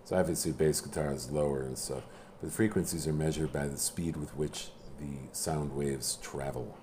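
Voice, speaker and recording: A man in casual conversation about music, speaking haltingly with many restarts and a self-deprecating manner. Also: extremely low pitch, steady tone, background noise. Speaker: musician guest. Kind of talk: casual chat.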